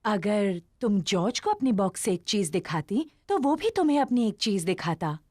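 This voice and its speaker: A woman voicing a kindly, patient mummy pig in a children's cartoon dub, with a gentle motherly manner. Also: medium pitch, sing-song, normal speed, no echo, studio quality.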